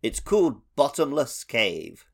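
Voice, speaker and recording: A man's voice: mid-range voice, very animated, unhurried, gravelly. Man, mid-range voice, informal podcast co-host talking video games. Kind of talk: casual video game chat.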